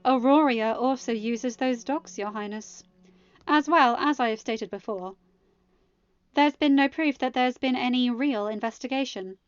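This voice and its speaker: A woman's voice: fairly high pitch, a little slow, clear, resonant tone, no room sound, muffled recording, voice pushed up, lightly acted. A woman voicing a haughty, defensive queen in a storybook reading, formal and emphatic. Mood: indignant, defensive. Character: haughty, indignant accused queen protesting innocence.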